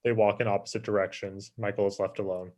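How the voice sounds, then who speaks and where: Low-voiced man reading stage directions. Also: level tone, fast talker, a little mellow. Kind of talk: scripted screenplay narration.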